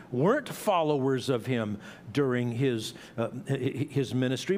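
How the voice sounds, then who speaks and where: Middle-aged male pastor, conversational sermon. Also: fairly high pitch. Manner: conversational, explaining with asides and small restarts. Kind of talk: expository sermon. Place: church sanctuary.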